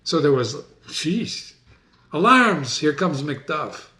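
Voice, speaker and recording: Bright-voiced man guiding a reading. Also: on the high side, very animated, slow. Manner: chatty explanations, checks in with the listener. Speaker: reader and guide to the play. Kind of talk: dramatic reading with casual asides. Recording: echoey room.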